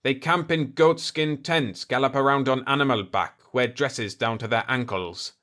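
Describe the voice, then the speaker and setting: A man voicing an indignant, gruff chief of state who complains combatively in a sci-fi audiobook. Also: medium pitch, normal speed, a bit bright, natural voice. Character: indignant, blustering cigar-chewing planetary chief of state, male.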